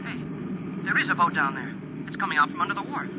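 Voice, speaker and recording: An actor playing an earnest, deferential young space cadet sidekick in a 1950s radio adventure. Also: male voice, high voice, very animated, fairly quick. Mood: alert. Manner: boyish, earnest exclamations. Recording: muffled, very noisy 1950s radio recording.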